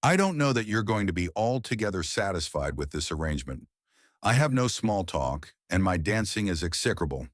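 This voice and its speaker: A man's voice: low-pitched, big pitch swings, moderate pace, no room sound. A man playing a dry, good-humored middle-aged English doctor in a pulp story, given to wry understatement.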